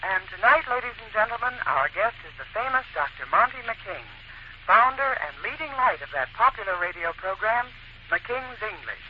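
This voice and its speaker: Through a muffled, noisy 1940s radio recording comes an actress as an eager young female secretary. Her delivery is theatrical and very animated, suited to a detective's sidekick. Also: medium pitch, moderate pace, close to an everyday voice. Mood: perky.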